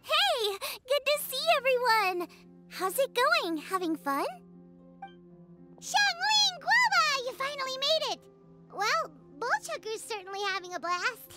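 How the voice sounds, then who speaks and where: A woman voicing a tiny, chirpy, childlike fairy sidekick in a video game, eager and chatty with a squeaky voice. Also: big pitch swings, takes it slowly, music in the background, exaggerated voice. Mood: excited. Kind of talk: animated video game voice acting.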